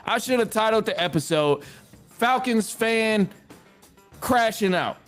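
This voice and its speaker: High-pitched man ranting as sports podcast host. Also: animated, a little slow, a bit bright, soft background music. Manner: exasperated, rambling, repeats phrases for emphasis; informal slang, mild swearing. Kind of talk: casual sports rant to listeners.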